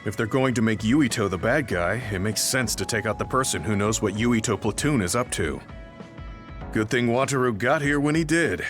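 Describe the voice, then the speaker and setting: An actor playing a composed, supportive male squadmate who speaks in a measured way in a video game. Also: fairly low pitch, music in the background, natural voice. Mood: analytical. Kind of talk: video game cutscene acting.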